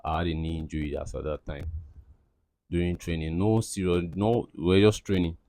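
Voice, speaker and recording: Young male interviewee, very deep voice, loose rambling answers, dry close recording. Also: steady tone, moderate pace, warm tone, no room sound. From Cameroon. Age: young adult. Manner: rambling, many fillers and restarts. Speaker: interviewee, aspiring footballer.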